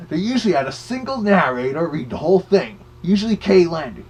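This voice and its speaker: A man's voice: fairly high pitch, a little mellow, breathy edge, exaggerated voice. A man voicing a cartoon Big Bad Wolf who gives chatty, slightly hesitant commentary as a record reviewer.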